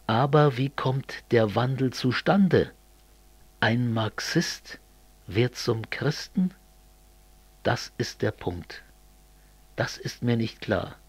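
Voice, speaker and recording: A man playing an earnest, brooding communist in an amateur radio play, speaking in a measured way. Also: medium pitch, slightly rough, no echo, full character voice. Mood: puzzled, grave.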